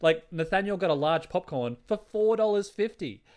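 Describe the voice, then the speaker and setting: A man talks casually as one half of a podcast. His voice is a touch high for a man, and he feels his way through thoughts in a hesitant, meandering fashion. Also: lively intonation, normal speed, darkish tone. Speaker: podcast co-host. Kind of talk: casual chat.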